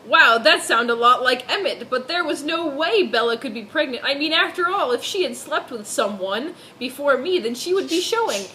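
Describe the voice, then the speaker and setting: A woman's voice: high voice, animated, normal speed, hardly any pauses, bright, forceful tone, a little hiss or hum. A woman doing a mock-serious dramatic reading of fan fiction, dropping in amused asides.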